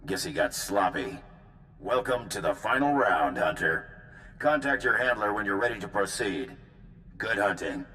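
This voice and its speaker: A man's voice: lowish voice, lively intonation, normal speed, sharp tone, low-quality recording. A man voicing a cool, matter-of-fact official of a bounty hunt in a video game, clipped and dry. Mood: businesslike.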